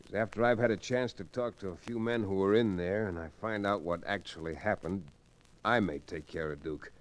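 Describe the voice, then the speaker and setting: Man playing a low-voiced, steady frontier hero. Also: moderate pace, lightly acted. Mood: grim. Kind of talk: 1950s Western radio drama acting. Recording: noisy old radio drama recording.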